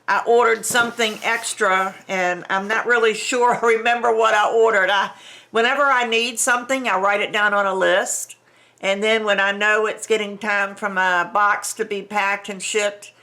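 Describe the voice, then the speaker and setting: Bright-voiced, stuffy-nosed older woman chatting slowly. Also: medium pitch. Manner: chatty and rambling, with restarts and small laughs. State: congested, stuffy nose. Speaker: vlogger unboxing a craft subscription box. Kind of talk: casual unboxing chat.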